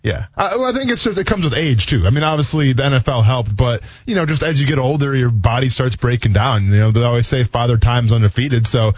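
A young man, a former football player co-hosting a sports radio show, telling stories fast and laid-back with lots of slang. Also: medium pitch, lively intonation, husky, no room sound. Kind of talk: casual sports radio chat. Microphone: studio microphone. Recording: muffled radio studio mic.

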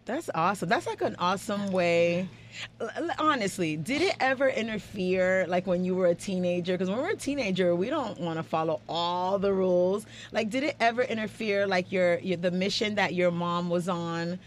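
A woman's voice: fairly low pitch, normal speed, a little hiss or hum. A woman hosting a community talk show from a studio, chatting informally as she interviews her guests. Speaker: radio show host. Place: live radio studio.

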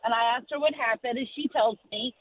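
A woman's voice: on the high side, brisk, a little hiss or hum. A woman calling a radio show by phone, telling a personal story as a mother. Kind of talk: animated personal anecdote. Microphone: telephone. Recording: thin, tinny phone line.

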